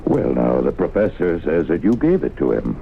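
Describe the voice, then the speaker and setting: An actor playing a shrewd, suspicious small-town mayor with a folksy, challenging drawl in an old radio drama. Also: male voice, very deep voice, big pitch swings, moderate pace, vocal fry, full character voice. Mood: probing. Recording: tinny, noisy old radio broadcast.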